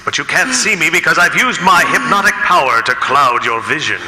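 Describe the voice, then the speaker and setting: A man's voice: on the high side, lively intonation, brisk, bright, forceful tone. A man playing a resolute mystery hero in an old-time radio melodrama, speaking with urgent, probing authority. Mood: commanding, probing. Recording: long hall reverb, faint noise.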